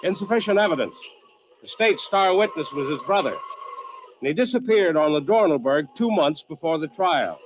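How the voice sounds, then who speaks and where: Vintage radio drama, actor as blunt male climber, guarded, terse, slightly high voice, lo-fi sound. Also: lively intonation, normal speed, close to an everyday voice. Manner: terse, evasive. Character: guarded, blunt male climbing companion who knows hidden truths. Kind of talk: old-time radio drama acting. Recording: dull, noisy old radio recording.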